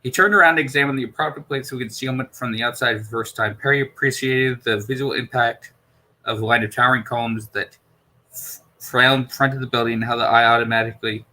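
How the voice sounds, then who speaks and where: A man's voice: medium pitch, fairly even intonation, brisk, pushed and bright, rough recording. A man reading a science fiction novel aloud as an amateur narrator, stumbling over words and repeating phrases. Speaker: amateur audiobook reader.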